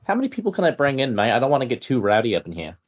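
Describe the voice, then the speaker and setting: A man's voice: medium pitch, rapid-fire. A man plays in a tabletop roleplay, chatting in character like a friendly mate. His mid-range voice leaps up and down a great deal as he banters. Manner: chatty, matey, animated, saying "mate" often.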